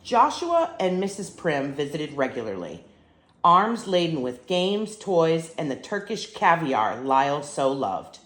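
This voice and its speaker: Expressive older woman reading a storybook aloud. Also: low voice, unhurried, echoey room. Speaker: grandmother reading stories. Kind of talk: storybook read-aloud for children.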